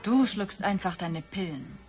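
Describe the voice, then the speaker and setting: An actress playing a nagging, sceptical middle-aged wife in a German radio drama, blunt and sardonic. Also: female voice, mid-range voice, animated, unhurried, a little mellow, soft background music, close to an everyday voice. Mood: needling.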